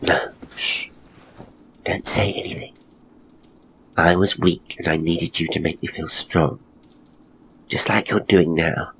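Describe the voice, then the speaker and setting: A man voicing a frail, earnest young woman in a ghost story, hushed and halting. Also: low-pitched, steady tone, creaky, background noise, exaggerated voice. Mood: tender, regretful.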